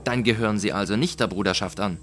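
A man voicing a proud, tipsy, excitable nobleman in a story reading, boastful and dismissive. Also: lowish voice, quick pace, a little hiss or hum, lightly acted. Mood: incredulous, excited.

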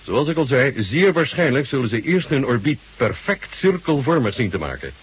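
Radio drama marshal: shrewd Soviet old soldier, very husky, mid-pitched, genially bantering and courteous. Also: male voice, very animated, moderate pace. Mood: calm, explaining.

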